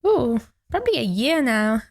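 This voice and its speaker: A woman's voice: fairly high pitch, big pitch swings, normal speed. A young woman from Brazil whose first language is Portuguese, chatting reflectively as a podcast guest and laughing often. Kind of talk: casual interview chat.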